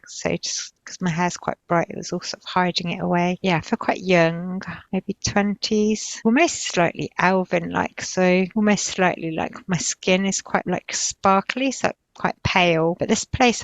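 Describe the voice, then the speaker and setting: A woman with a slightly low voice narrates an inner vision in a trance session. She speaks tentatively and haltingly, searching for each image as it comes. The sound is dry, with very little room. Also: creaky, dry recording, highs cut off. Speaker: healer describing visions in a session. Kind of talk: slow, improvised trance narration.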